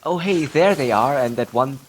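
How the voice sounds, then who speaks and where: A man's voice: mid-range voice, expressive, normal speed, slight background noise, poor audio. A man streaming a video game, commenting casually and offhand, joking and musing aloud as he plays. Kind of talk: casual live gameplay commentary. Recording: game music behind the voice. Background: video game music and sounds.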